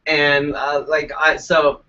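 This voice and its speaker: A stuffed-up, congested man co-hosting a casual live web show, telling a story in a profane, animated way. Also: fairly high pitch, takes it slowly. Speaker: co-host. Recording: dull lo-fi web stream.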